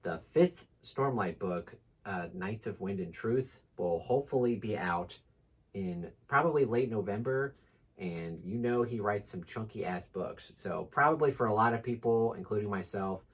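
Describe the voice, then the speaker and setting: A man with a low-pitched voice and a husky edge talks casually as a book channel co-host. His delivery is informal and enthusiastic, with slangy everyday phrasing. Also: lively intonation, unhurried.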